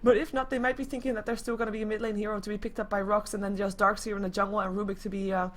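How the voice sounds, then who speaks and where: A woman casting an esports match live in a casual, chatty, expressive way. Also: medium pitch, very fast. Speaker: esports commentator.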